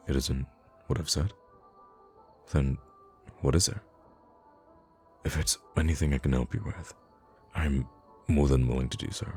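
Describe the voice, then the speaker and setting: Very deep-voiced, gentle prince played by a man. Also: exaggerated voice. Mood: tender, concerned. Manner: formal, courtly, soft-spoken phrasing with hesitant pauses. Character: kind, charming young prince, noble and gently witty. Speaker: voice actor in an audio roleplay. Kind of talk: intimate ASMR roleplay acting.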